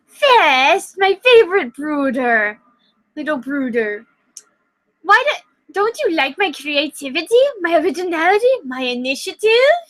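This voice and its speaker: A woman voicing a loud, obnoxious teenage boy prankster who talks in a fake British accent. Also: high voice, big pitch swings, measured pace, voice pushed up, full character voice, rough recording. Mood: cooing. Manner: boisterous, cooing.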